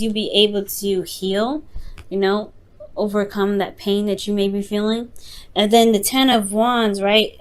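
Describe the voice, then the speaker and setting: Woman giving an unscripted tarot reading. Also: mid-range voice. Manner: halting, repeats and rephrases phrases, earnest and reassuring. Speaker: tarot reader, host. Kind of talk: unscripted tarot card reading, addressed to the listener.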